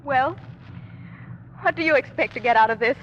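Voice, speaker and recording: A woman's voice: sits high, moderate pace, fairly bright tone. A woman playing a guarded, anxious doctor's wife with a secret in a 1940s radio drama, speaking in clipped, coolly polite phrases. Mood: pleading, tense. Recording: dull noisy old radio recording.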